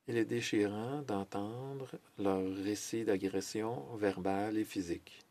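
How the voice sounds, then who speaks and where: Low-voiced man interpreting a formal speech. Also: a little mellow. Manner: measured, with hesitations and self-corrections. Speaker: French interpreter of a Senate speech.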